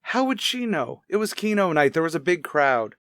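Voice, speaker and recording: A man's voice: fairly high pitch, sing-song, moderate pace, a bit bright, dry recording, clean studio sound. An actor playing an evasive, easily exasperated male murder suspect in a radio crime drama, answering defensively and testily. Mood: testy, evasive. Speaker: voice actor in an audio police drama.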